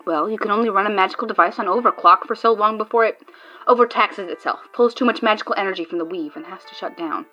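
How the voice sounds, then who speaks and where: A woman's voice: medium pitch, quick pace, pushed and bright, dull audio, faint music behind. A woman playing a cocky, mischievous adventurer in an audio drama, taunting and theatrical. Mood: explaining. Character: cocky, mischievous female card-playing adventurer, sharp-tongued.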